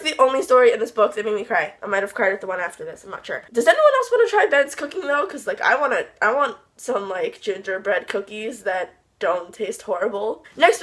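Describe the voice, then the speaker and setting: A young woman with a fairly high voice chats through a casual book review. She gushes and rambles, full of "like" and exasperated asides. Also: fairly bright tone. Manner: chatty, gushing and rambling, with frequent "like" and exasperated asides.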